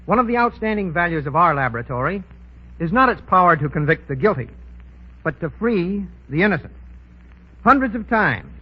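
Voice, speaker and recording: High-pitched man, formal 1930s radio guest. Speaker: guest criminologist. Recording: muffled 1930s radio recording.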